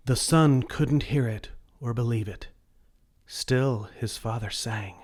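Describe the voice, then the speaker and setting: Slow-paced man reading a children's story. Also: mid-range voice, darkish tone. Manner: slow, deliberate read-aloud delivery. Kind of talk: scripted children's story narration.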